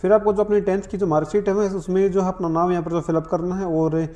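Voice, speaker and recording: A man's voice: high voice, fairly even intonation, quick pace, warm tone, faint music behind. A man narrating a step-by-step tutorial in an instructional way, with repeated filler phrases. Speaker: online form tutorial presenter.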